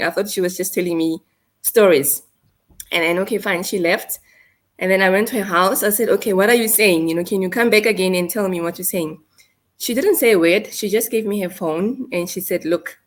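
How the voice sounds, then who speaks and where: A woman in her thirties with a South African accent, telling a painful personal story in a halting, hesitant way. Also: on the low side. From South Africa, North West. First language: Setswana. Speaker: guest. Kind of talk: emotional personal account.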